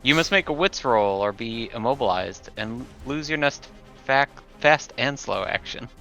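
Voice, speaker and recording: A man's voice: medium pitch, expressive, unhurried, pushed and bright, lo-fi sound, faint music behind. A man playing a tabletop role-playing game, chatting casually and talking through his moves and rules.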